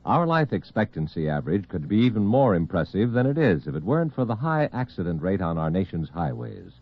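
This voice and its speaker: A man's voice: on the low side, big pitch swings, brisk, vocal fry, slight background noise. A man reading an earnest, formal scripted public service announcement on the radio. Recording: dull vintage radio recording.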